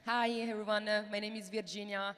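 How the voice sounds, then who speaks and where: A woman with an Italian accent talks on a panel into a handheld mic, her voice smooth and resonant. She pauses often with fillers. The big conference hall adds a long reverb. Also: medium pitch, flat delivery, fairly bright tone. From Italy. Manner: hesitant, many fillers. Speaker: panelist investor. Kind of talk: panel discussion. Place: large conference hall stage.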